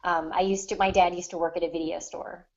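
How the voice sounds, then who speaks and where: An American woman from the Ozarks in a casual book discussion as a co-host, often pausing and rephrasing mid-thought. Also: fairly low pitch, flat delivery, highs cut off. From the Ozarks, United States. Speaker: podcast co-host.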